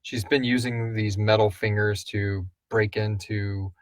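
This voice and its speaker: A man's voice: on the low side, flat delivery, a little slow, no room sound. A man co-hosting a casual live question and answer chat, rambling loosely and searching for his words. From Houston, Texas area. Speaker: co-host and audio drama creator answering listener questions.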